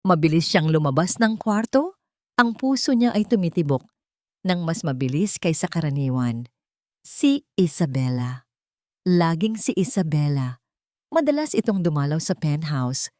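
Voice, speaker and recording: A woman with a Filipino accent narrating a romance story in deliberate, dramatic short phrases with pauses. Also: deep voice, expressive, warm tone, dry recording, studio quality.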